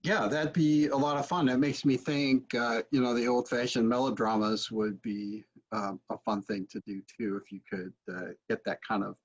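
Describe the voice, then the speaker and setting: Guy in his 40s moderating an online chat, mid pitch, kinda muffled lo-fi webcam sound. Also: flat delivery, moderate pace, few pauses. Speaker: host, interviewer. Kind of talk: casual moderating talk. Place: book-lined home study, video call. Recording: dull, lo-fi video call audio.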